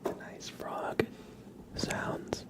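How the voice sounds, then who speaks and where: A man hosting an ASMR livestream, chatting casually in a hushed, breathy whisper with an unhurried, relaxed manner. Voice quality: breathy whisper with low creaky undertone.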